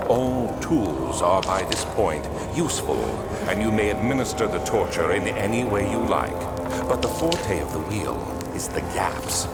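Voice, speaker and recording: Deep-voiced, coldly sinister baron. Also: male voice. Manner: measured, formal, accusatory. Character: calm, sinister older baron instructing in cruelty. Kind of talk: video game voice acting. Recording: loud music over the voice.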